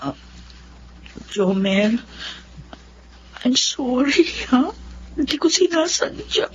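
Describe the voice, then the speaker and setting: A woman's voice: high-pitched, big pitch swings, takes it slowly, lo-fi sound, a little hiss or hum, heavily acted. A woman with a Filipino accent playing an apologetic, anguished young wife in a radio drama. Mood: guilty, hurt.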